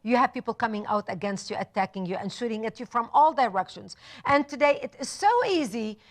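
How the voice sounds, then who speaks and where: A woman's voice: a little high, fairly quick. A woman from Lebanon, speaking as a guest in a TV studio interview, with passionate, emphatic lists. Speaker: guest author.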